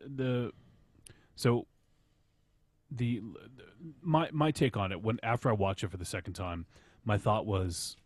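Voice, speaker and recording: A man's voice: on the low side, unhurried, long pauses, no room sound. A man casually discussing films as a podcast reviewer, repeating words as he builds up to his points.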